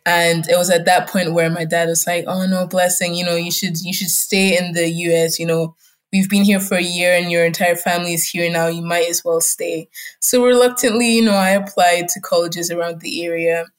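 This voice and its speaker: A woman's voice: lowish voice, flat delivery, brisk. A young woman whose first language is German, a student guest who grew up in Germany and Scotland, telling her story reflectively, with fillers. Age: young adult. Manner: reflective, with fillers and soft laughs. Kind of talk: personal storytelling in an interview.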